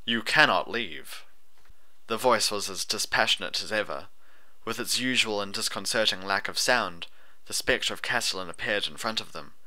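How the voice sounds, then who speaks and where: A man's voice: on the low side, moderate pace, bright, forceful tone. A man reading a fantasy story as a scripted audiobook narration.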